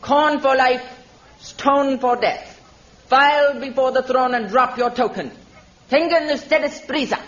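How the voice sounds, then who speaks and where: A woman's voice: high-pitched, measured pace, fairly bright tone. A woman playing a proud, imperious Spanish queen of man-hating women in a vintage radio adventure, haughty and commanding. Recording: dull vintage radio recording.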